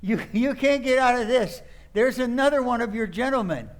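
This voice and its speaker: Man playing a high-voiced, flustered nephew. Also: moderate pace. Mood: alarmed. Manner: agitated, incredulous. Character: flustered, exasperated nephew of two batty aunts. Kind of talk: radio play acting.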